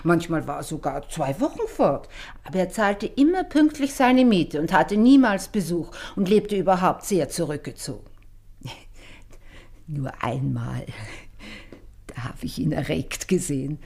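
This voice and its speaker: An actress playing a chatty, excitable widowed landlady in a German radio play, confiding and easily flustered. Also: low-pitched, measured pace. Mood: confiding, agitated. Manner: talkative, confiding, excitable, breaks into exclamations.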